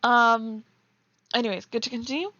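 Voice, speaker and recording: A woman with a fairly high voice reads a story aloud in a casual, giggly way, often stepping out of it to comment. The recording is dry and close, with a slightly dull, lo-fi sound. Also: fairly even intonation, normal speed, bright, forceful tone, no room sound. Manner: giggly, breaks off reading to comment. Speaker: streamer reading fan fiction aloud.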